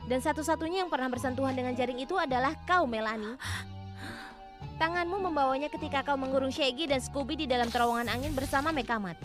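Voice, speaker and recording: A clever young cartoon sleuth, confident and high-voiced, acted by a woman. She speaks as a girl detective over clear background music. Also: fast talker, close to an everyday voice. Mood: confident explaining. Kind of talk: animated cartoon dub acting. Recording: music behind the voice.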